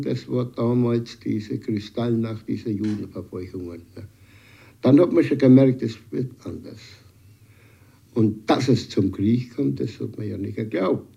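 An older man on dull, lo-fi tape speaks in a very dark, creaky voice. He recalls things off the cuff, halting and emphatic, often adding short tag questions. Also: mid-range voice. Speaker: eyewitness interviewee. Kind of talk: spontaneous spoken recollection.

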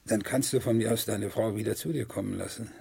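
Distraught accused killer, halting and anguished, gravelly raspy voice, old crime radio drama. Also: male voice, on the low side, a little mellow, heavily acted. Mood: defensive. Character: distraught, haunted male murder suspect, pleading innocence. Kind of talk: vintage German radio crime play acting.

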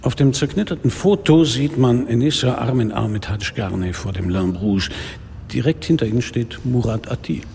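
An actor playing a sardonic, world-weary police inspector who narrates a radio drama in a dry, deliberate manner. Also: male voice, medium pitch, expressive, vocal fry, dark tone, a little hiss or hum. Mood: terse, probing. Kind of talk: radio drama acting, literary first-person narration. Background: music.

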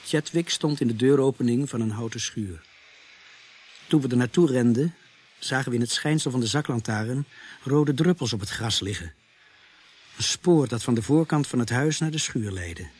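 A man narrating a radio drama as a grave storyteller with a husky voice. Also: mid-range voice, moderate pace, darkish tone, close to an everyday voice. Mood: grave, descriptive. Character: grave male narrator recounting grisly murder scenes.

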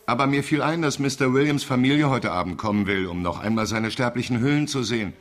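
An actor playing a naive, nervous, pious undertaker's helper in a German radio crime drama, anxious and pleading. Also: male voice, mid-range voice, animated, fast talker, far from the mic, natural voice. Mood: eager to please.

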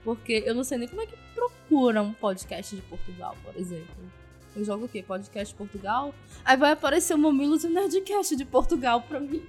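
A woman podcaster chatting casually in an animated, self-deprecating way. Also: high-pitched, a little fast, faint music behind.

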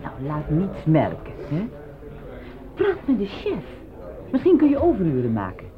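An actress playing a sceptical, sharp-tongued wife who questions and needles in a radio drama style. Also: female voice, mid-range voice, wildly swooping pitch, unhurried. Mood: doubtful, probing. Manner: sardonic, questioning. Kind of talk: Dutch radio drama acting. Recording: tinny, narrow-band old radio play.

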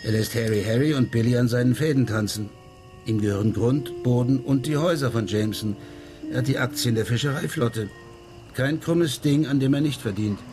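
An actor playing an unruffled, worldly middle-aged former policeman, talking calmly and wryly in a radio crime drama. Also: mid-range voice, a little fast, music in the background, close to an everyday voice. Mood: calmly explaining. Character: unruffled former Amsterdam policeman living abroad, worldly and wry.

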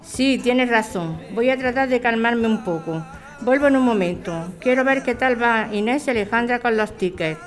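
A woman playing a brisk, caring grown-up daughter who runs a bar, in a naturalistic audio drama. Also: a little high, expressive, faint music behind, natural voice. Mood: stressed, bossy.

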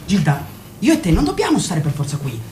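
A woman's voice: fairly low pitch, a little fast, a little hiss or hum. A woman voicing a restless teenage son in a radio play, bitter toward his mother and coaxing with his sister. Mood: urgent pleading. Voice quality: expressive, pleading and mocking. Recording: roomy echo.

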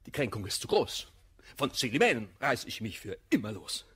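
Jilted, melodramatic gentleman, wildly swinging pitch. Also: sing-song, normal speed, slightly rough, heavily acted. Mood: bitter, resolute. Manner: theatrical, anguished verse declamation. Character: jilted, hot-tempered gentleman lover, wounded and melodramatic. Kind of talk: German radio play of classical verse comedy.

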